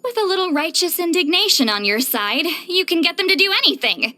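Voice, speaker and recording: Casting: reclusive female shaman for a video game; bright, very animated delivery, touchy, theatrical, easily flustered. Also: extremely high pitch, brisk, full character voice. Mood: indignant. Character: prickly, flustered, reclusive female shaman who loves novels and wine. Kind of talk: English video game character voice lines.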